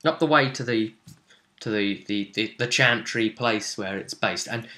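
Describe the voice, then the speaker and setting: A man recapping a tabletop role-playing session as game master in casual, halting storytelling, often repeating phrases as he goes. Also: on the low side, bright, forceful tone.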